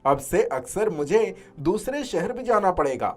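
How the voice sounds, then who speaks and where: A woman's voice: lowish voice, big pitch swings, unhurried, darkish tone, soft background music. A woman playing a blunt working-class young husband in a dramatized moral story, speaking emphatically. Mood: excited, explaining.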